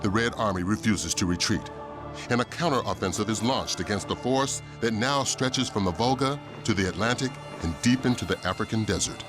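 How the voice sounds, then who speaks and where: Low-voiced grave male documentary narrator. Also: a little fast, over music. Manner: grave, portentous. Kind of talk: scripted dramatic documentary narration.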